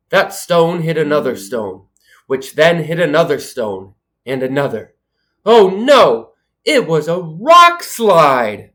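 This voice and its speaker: A man, a dad, reading a children's picture book aloud with enthusiastic, expressive delivery and asides to kids. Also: on the high side. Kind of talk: children's read-aloud storytelling.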